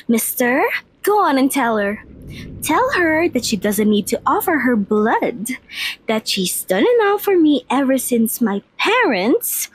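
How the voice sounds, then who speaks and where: Casting: woman as girlish vampire mistress for ASMR roleplay, very high voice, leisurely delivery, playful and sweet. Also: slightly rough, exaggerated voice, rough recording. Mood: sweet, earnest. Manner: bubbly, informal, giggly.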